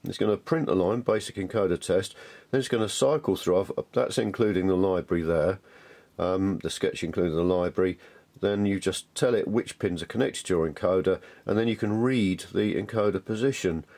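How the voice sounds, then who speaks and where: A man with a lowish voice presents a hands-on tutorial. He is chatty and keen, explaining each step informally as though thinking aloud. The delivery is that of an enthusiastic hobby teacher. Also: fairly quick, darkish tone.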